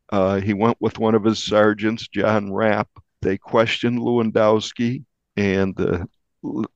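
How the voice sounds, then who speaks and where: A man speaking as a journalist in an interview, giving a measured account of a case. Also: on the low side, dry recording, highs cut off. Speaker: investigative journalist being interviewed.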